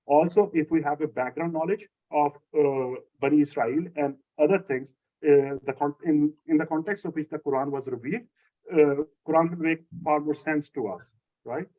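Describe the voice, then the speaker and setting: Man teaching, slightly high voice. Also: normal speed, narrow, tinny audio. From Lahore, Pakistan. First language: Urdu. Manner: explanatory, steady, repeats key phrases. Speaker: instructor. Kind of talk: answering a student's question in an online class.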